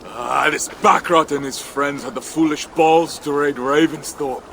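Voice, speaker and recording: A man playing a loyal, plainspoken Viking clansman in a video game, with a gravelly voice. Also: a little high, steady tone, normal speed, bright, forceful tone, slight background noise. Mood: indignant.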